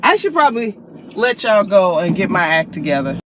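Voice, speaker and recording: An American woman vlogs casually from inside a car, with clear vehicle noise around her. Her voice sits at an average pitch, and she tells stories in a playful, expressive, animated way. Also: normal speed. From Chicago, United States. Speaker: vlogger and actress. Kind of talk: casual chatty vlog.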